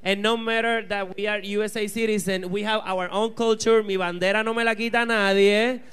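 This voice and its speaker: A man's voice: high voice, flat delivery, brisk, fairly bright tone, clean tone. A man with a Puerto Rican accent, a drag queen answering fan questions at a bar event in a sassy, playful way, slipping into Spanish. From Puerto Rico. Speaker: drag queen panel guest. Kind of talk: casual Q&A banter. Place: bar stage, indoors. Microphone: handheld mic.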